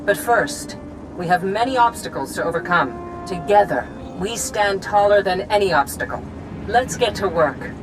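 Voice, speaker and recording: A woman's voice: medium pitch, animated, moderate pace, music in the background, natural voice, low-quality recording. A woman playing an earnest colonial governor giving an inspirational welcome speech. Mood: rousing. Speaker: planetary governor.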